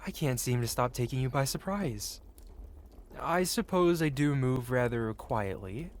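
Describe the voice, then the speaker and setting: A man's voice: mid-range voice, animated, a little slow, close to an everyday voice. A man playing a possessive, wealthy dragon merchant who speaks in formal, flowery, courteous phrases in an intimate fantasy ASMR roleplay. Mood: smooth, charming. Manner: formal, flowery, courteous speech addressed to one listener.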